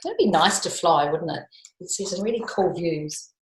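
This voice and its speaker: A woman's voice: lowish voice, expressive. A woman reading a picture book to children, expressively, drawing out key words and adding chatty asides. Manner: emphatic, drawn-out key words, questions to young listeners. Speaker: children's storytime reader. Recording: echoey room.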